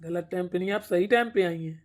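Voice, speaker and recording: A man's voice: high voice, fast talker, natural voice. A man voicing a stingy, evasive village husband in a family cartoon, grudging but placating. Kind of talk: Hindi family cartoon dialogue.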